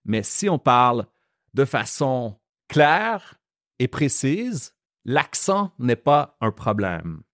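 A man with a Quebec French accent hosting a podcast lesson, explaining slowly and carefully. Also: mid-range voice, very animated, fairly bright tone, no echo, studio quality. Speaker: French teacher and podcast host.

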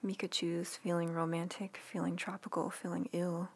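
A woman with a fairly low voice reads her own writing aloud as an ASMR read-along. Her delivery is soft, unhurried and intimate. Breaths and small lip sounds come through in a close recording made in a quiet room. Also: little pitch movement, breathy edge. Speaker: writer reading own newsletter aloud.

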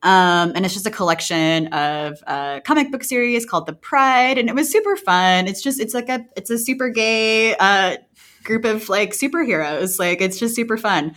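A woman's voice: medium pitch, animated, normal speed, a bit bright. A woman giving recommendations as a podcast co-host in an informal, enthusiastic way. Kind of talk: casual informal chat.

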